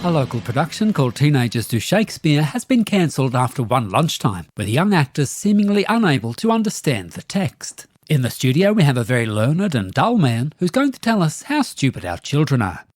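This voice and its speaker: A man's voice: a little high, big pitch swings, fairly quick, no room sound, clean studio sound. A man playing a news anchor with a mock-serious broadcast delivery.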